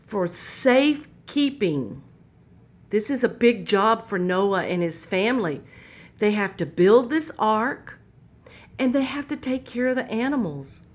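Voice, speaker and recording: Slow, gentle woman storyteller. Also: fairly high pitch. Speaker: children's story-time host. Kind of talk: reading a Bible story aloud to children.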